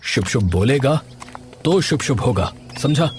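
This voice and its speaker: A man playing a sensible, moralising elder brother in an animated village story, admonishing as he talks. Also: mid-range voice, a little slow, close to an everyday voice. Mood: scolding. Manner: scolding, admonishing. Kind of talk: Hindi animated story dialogue. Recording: loud background music.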